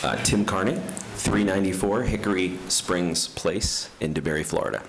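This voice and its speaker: A man's voice: deep voice, normal speed, heavy background noise. A man giving an earnest, prepared public comment at a county meeting. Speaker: resident speaking at public comment. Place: county commission chamber.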